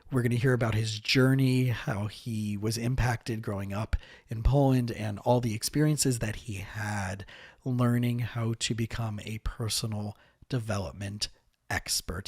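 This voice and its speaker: A man's voice: medium pitch, darkish tone, dry recording, studio quality. A man hosting a podcast interview in an upbeat, enthusiastic way, often repeating his words. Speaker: podcast host.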